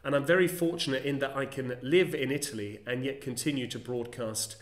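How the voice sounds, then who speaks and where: Articulate man in an echoey room. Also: mid-range voice. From England. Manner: measured, articulate, formal phrasing. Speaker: conference interpreter and football commentator. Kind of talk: explanatory solo vlog monologue. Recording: roomy echo.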